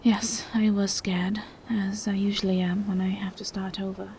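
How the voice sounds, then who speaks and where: A woman voicing a gentle, bashful female vampire in an intimate roleplay audio, speaking in soft, hesitant half-whispers. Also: mid-range voice, flat delivery, normal speed, a little hiss or hum, lightly acted. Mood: tender, wistful. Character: gentle, bashful female vampire in monstrous true form, lovestruck.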